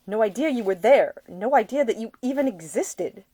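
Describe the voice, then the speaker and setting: A woman voicing an earnest, blunt, smitten Victorian gentleman in a storybook reading. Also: a little high, animated, moderate pace, dry recording, voice pushed up. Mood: stunned. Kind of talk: acted audiobook dialogue.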